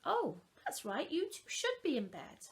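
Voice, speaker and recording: A woman reading the part of a calm, loving mother who gently and patiently reassures her young children in a storybook. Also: high-pitched, a little slow, pitched above the performer's own voice, full character voice. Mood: soothing.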